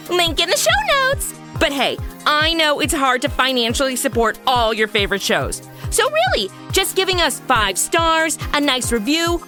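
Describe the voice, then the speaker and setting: A perky, goofy woman hosting a comedy audio drama. Her voice is high and bright with wide swoops, over faint background music. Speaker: podcast host and lead actress. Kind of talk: comedy radio acting and host outro.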